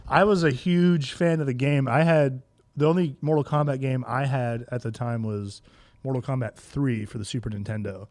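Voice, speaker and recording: A man's voice: medium pitch, steady tone, normal speed, a little mellow, no echo. Casual, enthusiastic man in a dry room. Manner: slangy, enthusiastic. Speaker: podcast co-host. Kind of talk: casual banter about video game movies.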